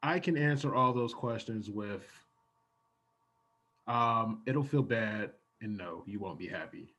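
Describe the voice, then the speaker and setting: A man playing an awkward, evasive asylum director with halting delivery in an improvised comedy scene. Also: lowish voice, pushed and bright, breathy edge, exaggerated voice. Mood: awkward, cheery.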